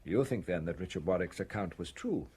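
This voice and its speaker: An actor playing a courteous, probing English police inspector in a radio drama, measured and politely hesitant. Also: male voice, low voice, lively intonation, warm tone, breathy edge, heavily acted. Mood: measured, insistent.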